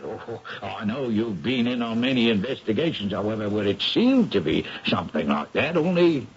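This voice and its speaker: A very raspy, gravelly police superintendent, low and up-and-down in pitch. He is polite, doubtful and hesitant, choosing his words carefully in a muffled old radio play. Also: very animated, normal speed, exaggerated voice. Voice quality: husky, gravelly. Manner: hesitant, polite, understated British phrasing. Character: deferential, sceptical male police superintendent. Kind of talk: 1970s radio drama acting. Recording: muffled vintage radio broadcast.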